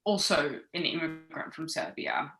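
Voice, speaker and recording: Bright, chatty woman from Australia. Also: low voice, measured pace. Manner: chatty, laughing, many fillers and restarts. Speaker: podcast guest. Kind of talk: casual chat. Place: home in London.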